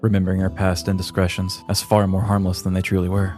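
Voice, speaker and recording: A man narrating a scripted comedic fantasy story in a theatrical, measured, mock-epic style. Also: deep voice, completely flat delivery, darkish tone. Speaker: podcast host and storyteller. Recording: prominent music behind voice.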